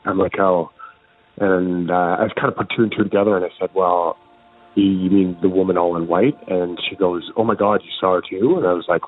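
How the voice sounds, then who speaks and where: A man's voice: sits low, fairly even intonation, brisk, husky, soft background music. A man from Canada leaving a voicemail for a podcast, telling a personal story casually and with many fillers. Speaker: caller. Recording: tinny phone line.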